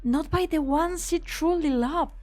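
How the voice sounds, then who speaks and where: A compassionate, worried young woman played by an amateur actress in a game fan dub. Her voice is very high, with music underneath. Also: unhurried, darkish tone. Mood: pleading.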